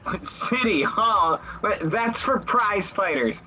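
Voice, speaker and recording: A man's voice: high-pitched, lively intonation, slow. A man acting in a radio play as a mild, fussy husband and friend. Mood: surprised, coaxing. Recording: dull, lo-fi voice track.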